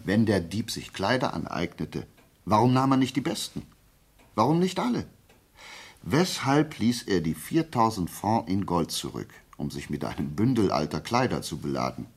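A man acting in a radio play as a shrewd detective, explaining his deductions deliberately and didactically in a low, gravelly, husky voice. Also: heavily acted. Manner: deliberate, didactic, checking in with short questions. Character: shrewd amateur detective methodically explaining a murder case.

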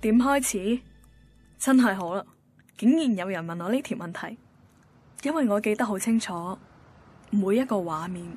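A woman's voice: mid-range voice, lively intonation, moderate pace, pauses often, darkish tone, husky, faint music behind. An actress playing a romantic, sentimental young girlfriend with a Hong Kong Cantonese accent, in a radio drama. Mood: dreamy, sentimental. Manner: sentimental, poetic.

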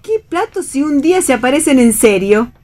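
A woman's voice: high-pitched, expressive, moderate pace, darkish tone. An actress playing a chatty, inquisitive and naive mother with old-fashioned, homely tastes in a radio play reading. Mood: chatty, fussing. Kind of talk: radio read theatre dialogue.

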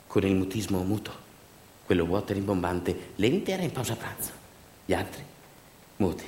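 A man performing a theatrical storytelling monologue for radio theatre, emphatic and repetitive, moving between hushed asides and louder delivery. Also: low-pitched, expressive, normal speed, a little hiss or hum. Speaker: stage actor and monologue storyteller. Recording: roomy echo, music behind.

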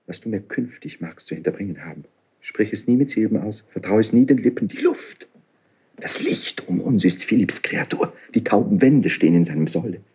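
An actor playing a highly strung young crown prince in a classical radio play, declaiming intensely with a husky voice. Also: male voice, lively intonation, normal speed, darkish tone. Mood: shaken, secretive. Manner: impulsive, intense, theatrically declaiming. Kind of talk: classical German radio play stage acting.

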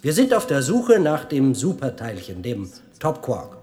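An actor playing a male science tour guide in a radio play, speaking in a formal, measured, didactic way. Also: mid-range voice, huge pitch swings, warm tone.

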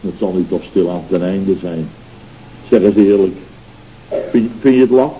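An actor playing a self-pitying, blustering boss of a building firm, pompous and melodramatic, in an old radio comedy. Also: male voice, low-pitched, moderate pace, dark tone, natural voice. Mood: maudlin. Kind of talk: 1970s Dutch radio comedy acting. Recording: dull, noisy old radio recording.

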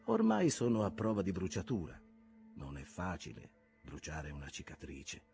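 A man's voice: sits low, wildly swooping pitch, normal speed, vocal fry, a little mellow, faint music behind, full character voice. A man voicing a scarred, weary old blacksmith in an audiobook, speaking humbly and respectfully.

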